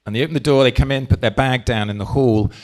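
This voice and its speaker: A man from England, a party leader, giving a live speech to an audience, talking forcefully with slow, stressed phrases into a handheld mic. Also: mid-range voice.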